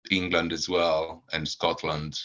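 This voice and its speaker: Low-voiced man with many fillers, lo-fi. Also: level tone, slow, pushed and bright. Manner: hesitant, many fillers and repeated words. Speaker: supporters club founder, guest. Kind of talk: casual podcast chat. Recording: dull lo-fi audio.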